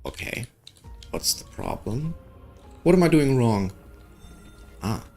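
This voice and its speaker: A man giving casual playthrough commentary as a gaming video host, hesitantly thinking out loud. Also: medium pitch, very animated, slow, gravelly. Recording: background music under the voice.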